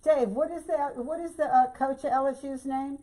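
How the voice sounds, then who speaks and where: Hoarse older Louisiana woman. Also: high-pitched, fairly even intonation, moderate pace, mellow, poor audio. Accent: Louisiana Southern US. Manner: chatty and folksy, keeps saying y'all. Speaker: craft tutorial host. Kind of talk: casual bow-making demonstration.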